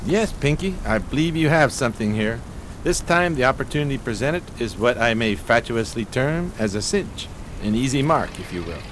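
Pompous vagrant swindler for 1920s radio play, male actor; mid-pitched, highly varied intonation, elaborate courtly manners. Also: lively intonation, moderate pace, a bit bright, a little hiss or hum, natural voice. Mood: pleased, boastful. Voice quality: florid, over-polite diction. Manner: pompous, theatrical. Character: grandiloquent, gentlemanly homeless con man in 1920s New York.

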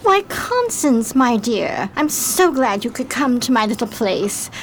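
An actress playing a chic, effusive 1920s society hostess in a radio play, with an affected manner. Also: female voice, a little high, sing-song, a little slow, slight background noise, rough recording. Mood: gushing.

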